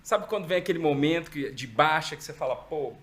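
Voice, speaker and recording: A middle-aged man with a Minas Gerais accent, a podcast guest telling stories in a relaxed, reflective way. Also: fairly high pitch, fast talker. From Brazil, raised in Minas Gerais. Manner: relaxed, reflective storytelling with frequent "né?". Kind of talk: interview conversation.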